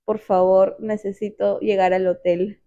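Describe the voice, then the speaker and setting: A woman from Peru with a Peruvian accent, telling a personal story in casual chat, animated and quick to repeat words. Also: medium pitch, highs cut off. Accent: Peruvian Spanish.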